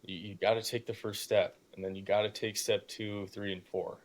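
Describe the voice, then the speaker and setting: A man's voice: on the low side, little pitch movement, moderate pace. An eighteen-year-old man tells stories in a casual, motivational podcast style. His youthful voice is prone to cracking, and he speaks emphatically and informally, often slipping into imagined dialogue to make a point. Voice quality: youthful voice that still cracks at times. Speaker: podcast guest, young real estate investor. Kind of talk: casual conversational motivational storytelling.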